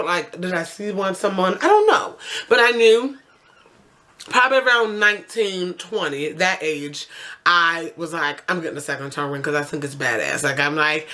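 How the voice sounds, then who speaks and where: Twenties woman, bright voice, rambling chatty vlogger storytelling. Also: mid-range voice. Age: 20s. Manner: chatty, rambling, self-correcting with frequent fillers. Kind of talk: casual storytelling to camera.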